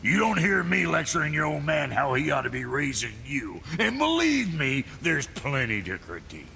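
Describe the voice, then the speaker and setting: A proud, gruff dwarf blacksmith for a video game. The voice is forceful and full of fry, leaping around in pitch. The talk is blunt, folksy and ungrammatical. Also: male voice, big pitch swings, normal speed, a little hiss or hum, full character voice. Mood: grumpy. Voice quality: gravelly. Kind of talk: video game character acting.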